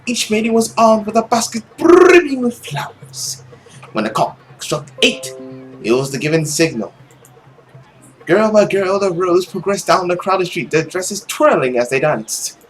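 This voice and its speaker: A young adult man narrating a visual novel aloud as a let's play host, in an expressive, dramatic way. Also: sits high, unhurried, faint music behind, poor audio. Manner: animated, dramatic reading. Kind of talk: expressive story narration with casual commentary.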